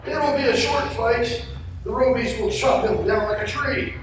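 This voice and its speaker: A man's voice: very high-pitched, moderate pace. A man playing an arrogant, scheming young villain prince in a cartoon radio play, with a sneering, haughty delivery. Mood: scornful. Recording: echoey live hall, far off, music.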